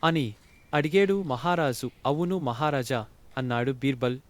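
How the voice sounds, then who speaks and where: A man's voice: medium pitch, unhurried. A male storyteller narrates a scripted folk tale. His voice sits in a middle register.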